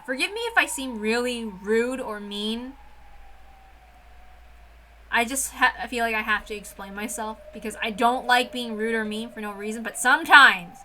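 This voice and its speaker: A woman's voice: on the high side, bright, forceful tone, slight background noise, poor audio. A woman hosting a read-aloud series, chatting casually in an emphatic, informal way. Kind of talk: casual chat and dramatic reading of a visual novel.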